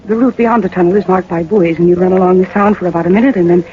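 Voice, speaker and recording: A woman's voice: fairly low pitch, fairly even intonation, racing, lightly acted. An actress playing an earnest, polite young woman, an owner's daughter, in a 1940s radio drama. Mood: helpful, polite. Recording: muffled 1940s radio recording, faint noise.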